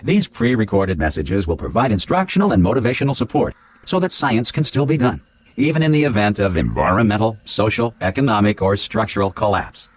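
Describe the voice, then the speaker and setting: An actor with an American accent playing a cheerfully formal automated facility announcer in a game, reading polished, unfazed public-address messages. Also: male voice, on the low side, very animated, fairly quick. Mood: formal, upbeat.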